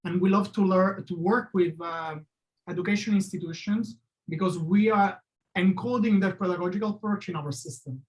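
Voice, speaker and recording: A man's voice: high-pitched, normal speed, a little mellow, rough recording. A man presenting his product in a webinar over a video call, talking in a loose, conversational way with hesitant restarts and non-native phrasing.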